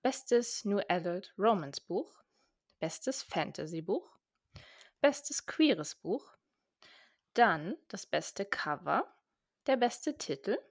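A woman's voice: medium pitch, sing-song, takes it slowly, long pauses, no room sound, clean studio sound. A woman co-hosting a book podcast, upbeat, reading out categories and summing up books in casual chat. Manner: upbeat, reads out lists and summaries. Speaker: podcast co-host.

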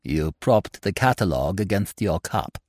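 A man's voice: deep voice, lively intonation, moderate pace, a little mellow, dry recording, clean studio sound. A man doing a stiff, efficient secretary. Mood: businesslike.

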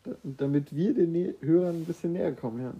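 Guy chatting in a guessing game, really mellow dark tone, dry mock-formal answers. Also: on the high side, fairly quick, poor audio. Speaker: co-host playing a guessing game. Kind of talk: casual banter during a who-am-I guessing game.

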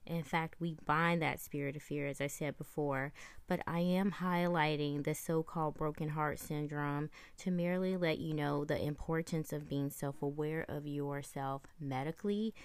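A woman podcast host speaking in a deliberate, reassuring monologue of faith-based encouragement, with emphatic delivery. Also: low-pitched, no echo.

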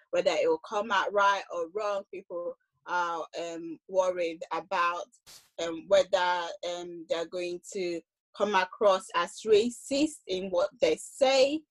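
A young woman speaking on a discussion panel, reflective and hesitant, with frequent pauses and restarts. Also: mid-range voice, rough recording. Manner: hesitant, many ums and restarts.

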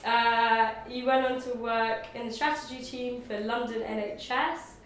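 A bubbly woman hosts a casual podcast, talking loosely and laughing often. Her voice sits a little high, and the recording rings with heavy room echo. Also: fairly even intonation, a little slow, a bit bright. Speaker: podcast host. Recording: very echoey room.

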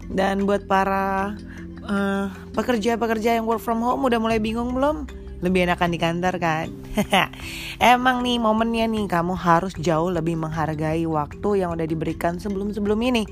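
A woman's voice: medium pitch, moderate pace, music in the background. A young woman with a stuffy, congested nose, chatting casually and teasingly to mothers as a podcast host. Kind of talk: casual chat to mothers, mixing in English. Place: small rented room.

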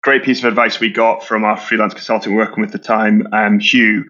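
A Scottish man, a brand co-founder, talking quickly in a casual business interview and often repeating words as he restarts. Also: lowish voice, little pitch movement, sharp tone, dull audio. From Scotland.

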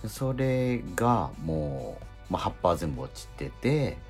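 Radio co-host, man, rambling animated chat with lots of fillers, swinging pitch, faint music under it. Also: normal speed. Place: radio studio.

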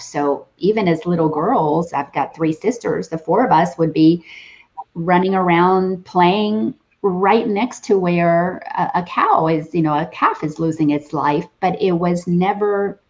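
Low-voiced woman from the Dominican Republic. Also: a little mellow, lo-fi sound. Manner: hesitant, repeats words as she gathers thoughts. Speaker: host. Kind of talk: interview conversation.

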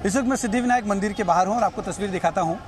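A man's voice: high voice, rapid-fire, loud music behind the voice. A man reporting news on location, explaining and pointing things out directly to viewers. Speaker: television news field reporter. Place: outdoors on city streets. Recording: noisy outdoor urban location with traffic.

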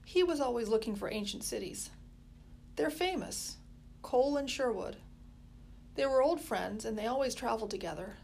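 A woman's voice: fairly high pitch, lively intonation, moderate pace, vocal fry, a little mellow, slight background noise. A woman voicing an earnest, polite and well-spoken boy.

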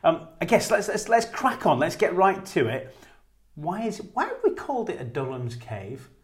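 A man's voice: sits high, big pitch swings, normal speed. A middle-aged man co-hosting a church podcast in a chatty, self-deprecating way, with easy laughter. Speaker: podcast co-host, former church leader. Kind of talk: casual chat.

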